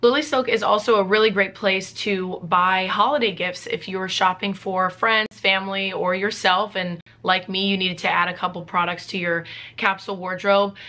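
A young woman vlogging from a living room, talking casually to the camera, with a low voice while she is sick. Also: steady tone, sharp tone. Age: young adult. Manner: chatty, upbeat, lists items quickly. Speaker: beauty vlogger. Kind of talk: casual sponsored vlog talk. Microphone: camera facing her, mid-shot.

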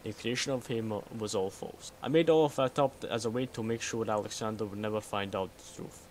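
Man acting a guarded, low-voiced synth spy. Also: steady tone, fairly quick, a little mellow, natural voice. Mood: secretive, matter-of-fact. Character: secretive synth clone of a Soviet spy, guarded. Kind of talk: scripted game mod dialogue. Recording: noticeable background noise.

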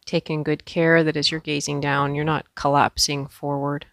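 Gravelly-edged woman guiding a meditation. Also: sits low, level tone, dry recording, studio quality. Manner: gentle, unhurried, with pauses. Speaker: yoga and meditation instructor. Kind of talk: guided meditation.

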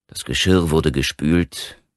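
A man's voice: rumbling low, takes it slowly, dry recording, clean studio sound. A man narrating a scripted horror story as an audiobook reader.

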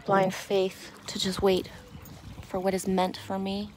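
A woman's voice: mid-range voice, completely flat delivery, slow. A woman musing dreamily and casually to a phone outdoors, swearing freely. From Canada. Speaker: vlogger. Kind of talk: rambling, musing casual chat. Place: outdoors on a lakeside beach. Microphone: handheld phone. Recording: outdoor phone recording with faint noise.

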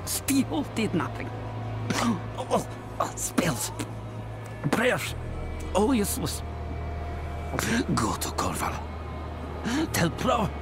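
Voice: medium pitch, big pitch swings, drawn-out words, long pauses, over loud music. An actor playing a dying warrior giving urgent last orders in a video game, gasping for breath. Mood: desperate. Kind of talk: dramatic video game acting.

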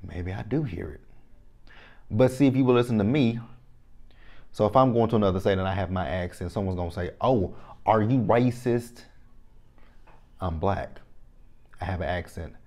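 A man from Texas in his thirties with a Texan accent, giving an animated, opinionated reality TV recap straight to camera on a lapel mic in a small room. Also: lowish voice, normal speed, pauses often. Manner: animated, repeats phrases, talks straight to viewers. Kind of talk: casual opinionated review to camera. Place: small indoor room, plain backdrop.

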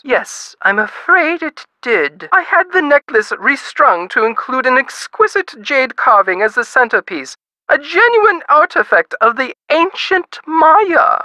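A woman voicing a pompous, melodramatic high-society lady in a video game, genteel and flowery. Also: bright, forceful tone, no room sound, full character voice. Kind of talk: video game character dialogue.

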